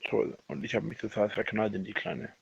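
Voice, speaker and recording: Low-pitched man, husky and gravelly, halting rambling personal monologue, self-correcting, dull lo-fi voice message. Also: steady tone. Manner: halting, repeats and corrects himself. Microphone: voice message recorded on a phone. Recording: dull lo-fi leaked voicemail recording.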